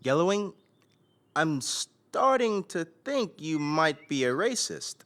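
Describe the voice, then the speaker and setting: An earnest, curious American teenager from an audio drama. His delivery is casual and a bit hesitant, slightly high in pitch and very inflected. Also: very animated, takes it slowly, fairly bright tone, heavily acted.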